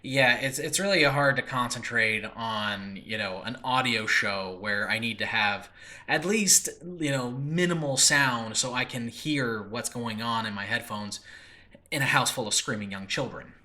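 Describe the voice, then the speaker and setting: A man's voice: mid-range voice, measured pace, bright, forceful tone. An American man hosting a podcast solo, talking informally and rambling with frequent filler asides. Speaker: podcast host and audio drama creator. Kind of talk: casual solo podcast talk. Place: room in a new apartment, makeshift recording space.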